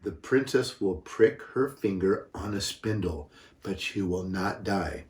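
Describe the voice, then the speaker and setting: A kindly storybook fairy, voiced by an older man who comforts in a solemn, soothing way. The delivery is very slow and fairly low, with roomy echo around it. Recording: roomy, echoing, distant microphone.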